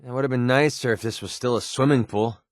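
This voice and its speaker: Man acting young male mercenary, American accent, mid-pitched, close dry sound, sardonic, guarded, video game companion. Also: quick pace, dry recording, close to an everyday voice. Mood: wary, wry. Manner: sardonic, conversational, hesitant asides.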